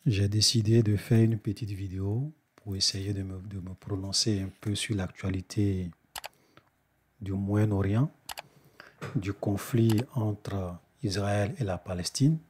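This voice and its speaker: A man's voice: lowish voice, steady tone, measured pace, darkish tone, slight background noise. A man with a cold preaching to his brothers and sisters in an earnest spoken sermon, often restarting his phrases. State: congested with a cold.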